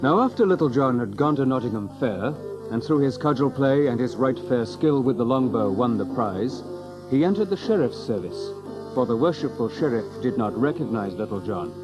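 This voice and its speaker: A storyteller of an old English adventure legend, voiced by a man. He narrates in archaic storybook prose at a medium pitch, with clear music underneath. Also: animated, normal speed, muffled recording, close to an everyday voice. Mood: storytelling. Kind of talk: scripted dramatic storybook narration.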